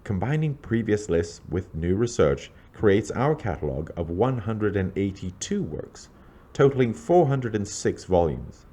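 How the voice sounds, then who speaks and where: A man reading scripted narration aloud in a measured, scholarly, documentary-like way. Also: on the low side, sing-song, mellow, husky.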